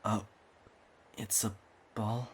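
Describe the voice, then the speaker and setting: A man playing a weary, awkward young man in an audio drama, speaking hesitantly in a husky, subdued voice. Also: fairly low pitch, level tone, slow pace.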